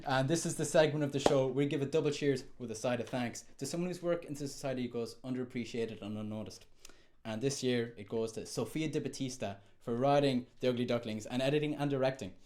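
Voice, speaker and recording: A man's voice: mid-range voice, brisk, darkish tone. Man presenting a mock awards show.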